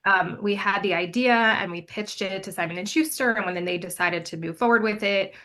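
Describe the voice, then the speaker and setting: A woman guest, a co-writer, explaining her work in a measured way during a casual interview. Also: medium pitch, bright, forceful tone.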